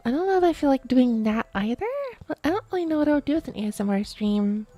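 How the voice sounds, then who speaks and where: A woman streaming a late-night chat, talking softly and quietly while sleepy, with many fillers and restarts. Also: high-pitched, lively intonation, fast, soft background music. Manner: soft, quiet, drowsy talk with fillers.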